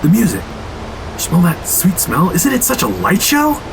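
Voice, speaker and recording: A man's voice: high voice, wildly swooping pitch, unhurried, creaky, exaggerated voice. An actor playing a reckless, strangely intense young man in an audio drama. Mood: entranced. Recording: loud rain and ambient noise.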